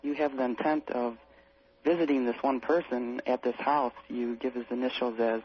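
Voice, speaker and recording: A man phoning in to a radio show to ask a question, speaking carefully and haltingly. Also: mid-range voice, fairly even intonation, slight background noise. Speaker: radio phone-in caller. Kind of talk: asking a question on a call-in show. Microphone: telephone. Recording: muffled lo-fi phone call on radio.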